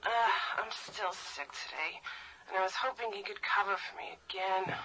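A woman's voice: medium pitch, moderate pace, pushed and bright, a little hiss or hum, low-quality recording. An actress playing a sick woman who chats casually on the phone while asking for someone to cover her class. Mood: chatty. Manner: chatty and casual, self-pitying. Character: chatty, sick woman calling in for work cover.

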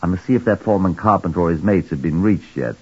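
A man's voice: low voice, quick pace, slightly rough. A man playing a shrewd police chief inspector who narrates wryly, in 1940s radio acting style. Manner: wry, reflective narration. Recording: lo-fi vintage radio recording.

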